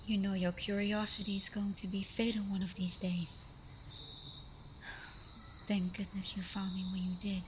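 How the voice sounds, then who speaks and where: A woman acting a protective, scolding but tender vampire girlfriend in an intimate ASMR roleplay, hushed and often slipping into breathy whispers. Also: medium pitch, a little hiss or hum, natural voice. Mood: scolding, relieved.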